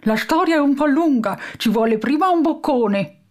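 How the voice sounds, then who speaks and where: A woman's voice: sits high, animated, moderate pace, a little mellow, pitched above the performer's own voice. A woman voicing an eccentric, boisterous old toothless man who lives in a junkyard, in a storybook reading. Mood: jovial. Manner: boisterous, rhyming, exclaiming.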